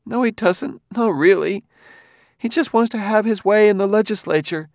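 A man's voice: high voice, moderate pace, gravelly, no echo, studio quality, voice pushed up. A man voicing an earnest, wounded, idealistic woman who pleads insistently in an audiobook novel. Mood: bitter, upset. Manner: earnest, insistent, breaking into hushed speech.

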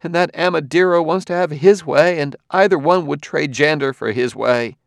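A man's voice: a little high, normal speed, vocal fry, dry recording, studio quality, voice pushed up. A man voicing an earnest, wounded, idealistic woman who pleads insistently in an audiobook novel. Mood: bitter, upset. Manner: earnest, insistent, breaking into hushed speech.

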